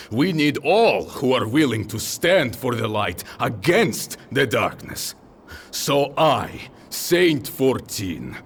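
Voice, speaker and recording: Guy doing a solemn heroic warrior giving big speeches, slow n very dramatic swings, kinda distant noisy mic. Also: mid-range voice. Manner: oratorical, measured, impassioned. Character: noble, earnest male warrior rallying his comrades with solemn conviction. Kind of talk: dramatic speech in a fan fiction audio drama.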